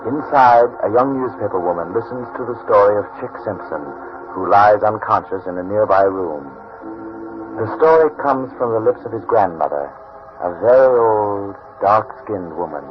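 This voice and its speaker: A man acting as the solemn narrator of a 1940s radio drama, measured and dramatic. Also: lowish voice, expressive, lightly acted. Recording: muffled 1940s radio recording with music.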